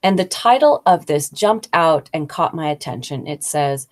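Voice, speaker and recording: A woman's voice: low voice, animated, moderate pace. An American woman hosting a casual, conversational talk about business English, thinking aloud with occasional fillers. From United States. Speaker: host.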